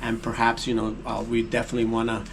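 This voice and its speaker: A man interviewed as a guest actor and producer, talking haltingly with many fillers and restarts. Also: mid-range voice, monotone, gravelly. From United States. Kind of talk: casual interview. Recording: noisy location recording.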